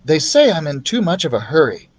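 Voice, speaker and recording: Man as an earnest young boy, lightly raised pitch. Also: big pitch swings, brisk. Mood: candid. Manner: earnest, confiding. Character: friendly, candid young boy, impatient to grow up.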